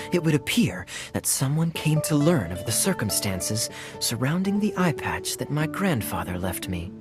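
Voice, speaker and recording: A man playing a suave young adventurer in a video game, sly and playfully sardonic, with a smooth theatrical manner. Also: medium pitch, big pitch swings, a little slow. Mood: wry explaining. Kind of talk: video game cutscene acting. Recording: music playing behind the voice.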